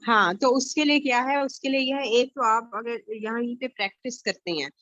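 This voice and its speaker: A woman with a Pakistani accent leads an online exam-prep class, explaining things patiently and filling pauses as she goes. Her voice sits a bit high, and the sound is dry and muffled like a video call. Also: a bit bright, no echo. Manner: explains patiently, many fillers. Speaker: IELTS teacher. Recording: dull lo-fi online call audio.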